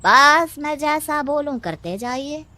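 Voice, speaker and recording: A performer voicing a sly, cunning male adviser who slowly plots with the headman in a cartoon storybook. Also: sits high, lively intonation, bright, forceful tone, exaggerated voice. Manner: sly, sneering, slow.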